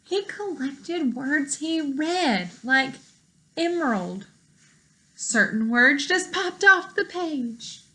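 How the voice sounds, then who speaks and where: A woman reading a picture book aloud to children in an expressive, teacherly way, pausing to explain words and put questions to young listeners. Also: high voice, low-quality recording.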